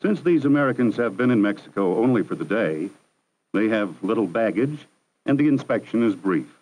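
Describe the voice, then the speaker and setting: A man with a fairly low voice narrates a 1960s educational film. His delivery is measured, formal and instructive, the steady voice of a scripted documentary. The sound comes from an archival film soundtrack. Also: slight background noise, rough recording.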